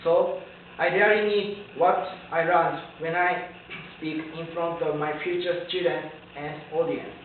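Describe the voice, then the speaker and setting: A young man from Japan, a native Japanese speaker, giving a prepared student speech in careful, halting English with a Japanese accent. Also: fairly high pitch, steady tone. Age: young adult. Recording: tinny, echoey distant recording with faint noise.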